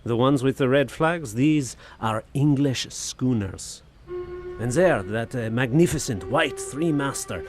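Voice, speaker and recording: A genteel nineteenth-century French gentleman in an audio drama, speaking over prominent music. His mid-pitched delivery is florid and poetic, with theatrical effusiveness. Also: animated, slow pace. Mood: wistful delight. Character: genteel, poetic Frenchman of the 1880s, increasingly troubled. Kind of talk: audio drama acting, diary narration and dialogue. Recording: music behind the voice.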